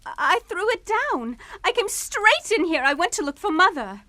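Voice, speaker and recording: An actress playing a distraught, timid young English daughter in a radio suspense drama, speaking in a halting, tremulous way. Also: female voice, very high voice, sing-song, bright, forceful tone, dry recording, full character voice. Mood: frightened, pleading.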